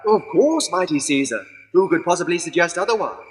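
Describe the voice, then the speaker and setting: Actor as high-voiced Roman toady, reverberant. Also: male voice, big pitch swings, fairly quick. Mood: flattering. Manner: deferential, cheeky, colloquial. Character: flattering, casual aide to a Roman emperor. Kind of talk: comic radio play acting. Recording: long hall reverb.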